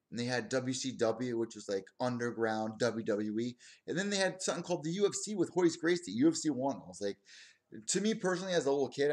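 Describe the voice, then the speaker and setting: A young man in his twenties runs a solo stream, chatting straight to his audience. He rambles excitably from one aside to the next and keeps circling back to his point. His voice sits at an ordinary middle pitch for a man. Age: 20s. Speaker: podcast host. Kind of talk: casual solo stream commentary.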